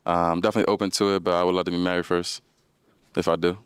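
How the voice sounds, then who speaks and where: Male contestant, 30s, very deep and smooth voice, hesitant answers full of ums. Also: level tone, racing. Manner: hesitant, many ums and uhs, searching for words. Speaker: dating show contestant. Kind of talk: unscripted answers on a dating show.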